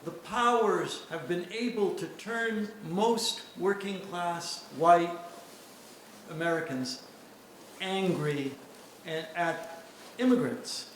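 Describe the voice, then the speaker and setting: A man's voice: sits high, takes it slowly, a bit bright. Impassioned man asking from the audience. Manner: earnest, impassioned questioning. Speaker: audience member asking a question. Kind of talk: audience question at a lecture. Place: lecture hall. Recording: very echoey hall with clear noise.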